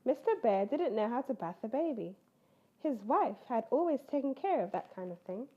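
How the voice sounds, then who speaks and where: A woman with a fairly high voice reads a children's picture book aloud. Her delivery swings expressively up and down as she tells a bedtime tale. The recording is slightly dull and lo-fi. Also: normal speed. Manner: animated, acts out character voices.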